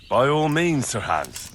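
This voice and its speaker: An actor playing a young blacksmith's son in a video game, plain-spoken and cheeky with a dry, wry manner. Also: male voice, medium pitch, a bit bright, slight background noise, heavily acted. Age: young adult. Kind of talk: video game dramatic voice acting.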